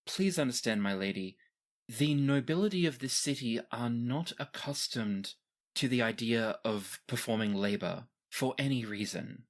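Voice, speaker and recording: A man voicing a professional female retainer and former adventurer who speaks in a formal, measured, respectful way in a tabletop game. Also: mid-range voice, expressive, natural voice. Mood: grave, formal.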